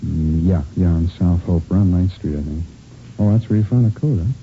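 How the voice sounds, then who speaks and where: An actor playing a slick, irritable American boyfriend under police questioning, defensive and dismissive, in old radio drama style. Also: male voice, a little fast, faint music behind. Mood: evasive, irritated. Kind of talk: 1950s radio drama acting. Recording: dull, rumbling vintage radio recording.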